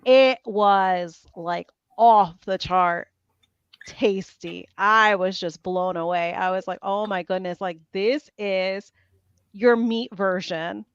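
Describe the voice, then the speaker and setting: A woman guest in a casual chat, telling a story in an animated way and quoting her own thoughts. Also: medium pitch, slow pace, clean tone, dry recording, muffled recording.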